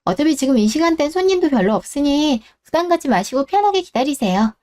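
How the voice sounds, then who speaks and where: Woman as supermarket clerk, fairly high soft voice, courteous, helpful, considerate, radio drama. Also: very animated, fast talker. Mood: apologetic, helpful. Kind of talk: Korean radio-drama story acting.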